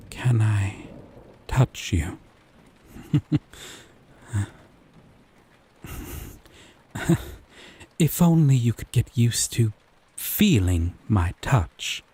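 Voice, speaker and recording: A man playing a gentle, obsessive, possessive lover in an intimate romantic roleplay, speaking haltingly and tenderly. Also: mid-range voice, a little hiss or hum. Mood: pleading, yearning. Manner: slow, halting, tender, breathy pauses. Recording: rain and thunder ambience behind. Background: rain, thunderstorm.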